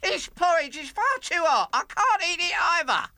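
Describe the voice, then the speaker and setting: A man voicing a fussy motherly female bear in a high, shrill, scolding voice. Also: very animated, normal speed, sharp tone, no room sound, heavily acted. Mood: complaining.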